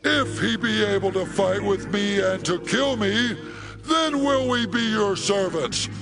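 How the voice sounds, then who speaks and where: An actor playing a boastful, menacing giant champion warrior in a dramatized Bible, declaiming his challenge in drawn-out phrases. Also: high-pitched, vocal fry, heavily acted. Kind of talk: dramatized Bible audio acting. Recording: voice over loud background music.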